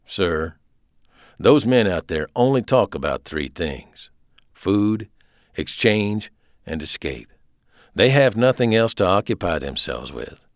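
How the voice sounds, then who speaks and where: A man reads as a young American prisoner in an audiobook. He speaks low and subdued, guarded but respectful, in a close, dry recording. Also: sing-song, takes it slowly, no echo, exaggerated voice. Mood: firm. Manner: muttering, soft, subdued.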